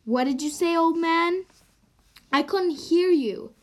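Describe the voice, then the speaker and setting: Girlish woman as high-pitched, cocky fairy-tale hero. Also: takes it slowly. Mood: smug, mocking. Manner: teasing, boastful. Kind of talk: amateur radio drama acting.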